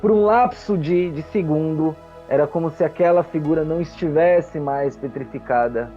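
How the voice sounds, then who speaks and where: A man narrating a tabletop game as game master, theatrical and full of deliberate pauses. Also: on the high side, mellow, dull audio, faint music behind.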